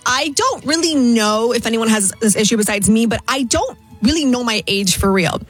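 A woman's voice: on the high side, lively intonation, normal speed, breathy edge, faint music behind. A woman in her thirties co-hosting a radio show, telling chatty, self-deprecating stories. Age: 30s. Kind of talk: casual radio banter.

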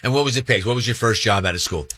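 A middle-aged man hosting an interview, asking quick, upbeat questions. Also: on the low side, a bit bright, breathy edge, dry recording. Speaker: interview host. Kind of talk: podcast interview.

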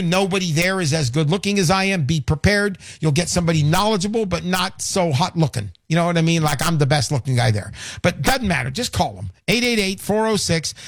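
A man in his sixties hosting a radio call-in show, pitching live in a fervent, emphatic way, often repeating himself, folksy and boastful. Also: no room sound, studio quality. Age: 60s. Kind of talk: live radio sales talk.

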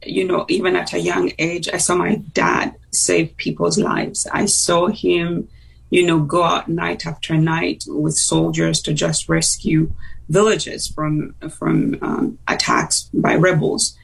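Woman, 40s, very gravelly, somewhat distant from the mic, pausing often. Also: lowish voice, little pitch movement. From Uganda. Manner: thoughtful, unhurried, with frequent pauses and fillers. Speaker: guest. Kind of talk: personal testimony in a talk-show interview.

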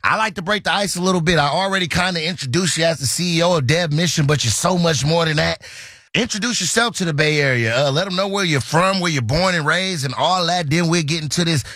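A man's voice: fairly high pitch, fast talker, bright, forceful tone, no echo, clean studio sound. A man hosting a radio public affairs interview, upbeat and slangy in his talk. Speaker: radio show host.

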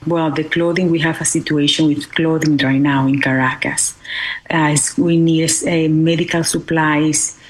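Low, husky Venezuelan-accented woman. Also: fairly even intonation, low-quality recording. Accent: Venezuelan Spanish. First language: Spanish. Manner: non-native English phrasing with searching pauses. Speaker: interview guest, charity foundation director. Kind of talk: interview answers, earnest appeal.

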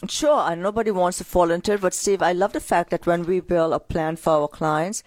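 A woman co-hosting a financial radio show, telling client stories in an energetic, talkative way. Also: on the low side, brisk. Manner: energetic, storytelling with client anecdotes. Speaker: radio co-host, financial advisor. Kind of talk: casual radio finance talk.

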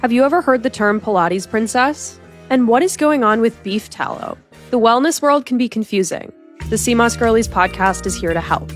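A woman's voice: fairly high pitch, expressive, normal speed, faint music behind. A woman narrating a scripted promo for a wellness podcast.

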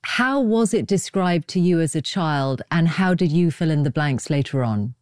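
A woman's voice: low voice, lively intonation, moderate pace, a little mellow, no room sound, clean studio sound. A woman conducting a considered literary interview as host, articulate and probing in her questions and comments.

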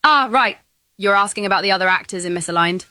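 A woman's voice answering interview questions in a smooth, polite, scripted way. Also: mid-range voice, fast talker, pushed and bright, dry recording, clean studio sound. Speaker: AI actress guest being interviewed.

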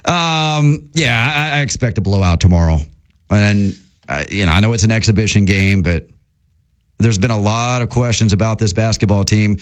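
Chatty sports radio host, man. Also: fairly low pitch. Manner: lively, also reads ad copy quickly. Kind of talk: casual sports talk radio chat.

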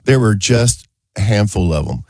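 A man's voice: fairly low pitch, animated, moderate pace, darkish tone, no echo. A man hosting a gardening radio show while fighting a head cold, chatting in a rambling, joking way in a studio. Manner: rambling, jokey, chuckles at his own quips. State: has a head cold, stuffy. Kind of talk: casual early-morning radio chat.

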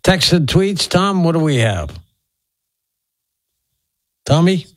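A man's voice: a little high, big pitch swings, slow pace, dry recording, clean studio sound. A man hosting a call-in radio show in an easygoing way, moving the calls along. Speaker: radio talk show host.

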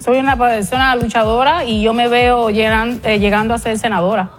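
A woman in her late thirties with a slightly high voice speaks in a casting interview. She is earnest and a bit halting, slips in English words, and has soft music behind her. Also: steady tone. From born in Cuba, raised in the US from age six. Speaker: pageant contestant, paralegal.

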